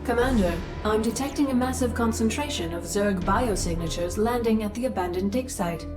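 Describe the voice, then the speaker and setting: An actress playing a calm, efficient military computer adjutant in a video game, precise and formal. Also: female voice, fairly high pitch, brisk, close to an everyday voice. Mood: calm alert. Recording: distant voice under music.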